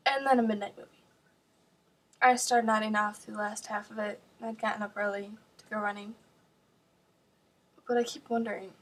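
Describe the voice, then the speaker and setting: A woman performing a dramatic stage monologue as a bewildered young student mourning her friend, halting and searching with heavy pauses. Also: fairly high pitch, slightly rough. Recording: distant mic.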